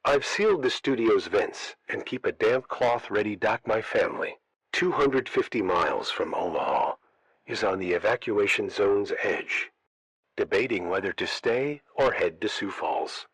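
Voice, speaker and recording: A man playing a radio broadcaster holed up during an outbreak, reading scripted emergency bulletins in a measured way. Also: on the low side, animated, fairly bright tone, breathy edge, no echo, heavily acted, low-quality recording. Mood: grave, worried.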